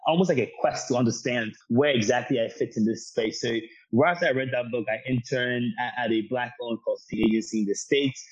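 Husky-voiced man from Ghana, earnest storyteller. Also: medium pitch, a little fast, hardly any pauses. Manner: earnest, talkative, frequent restarts. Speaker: guest. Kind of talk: storytelling interview answer. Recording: dull lo-fi sound.

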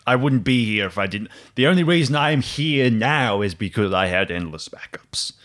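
A man voicing a cold, calculating computer intelligence in a tabletop roleplay, speaking in halting, analytical phrases. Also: medium pitch, animated, fairly bright tone, natural voice. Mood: smug, matter-of-fact.

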